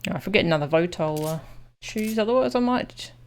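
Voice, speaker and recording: A woman's voice: fairly low pitch, animated, a little fast. A woman chatting casually with viewers as she streams a video game. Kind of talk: casual livestream chat with viewers.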